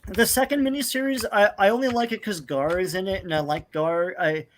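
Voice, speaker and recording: A man's voice: high voice, steady tone, fairly quick, a little hiss or hum. A man in casual podcast chat about comics, rambling with lots of hesitations. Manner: rambling, lots of ums and likes.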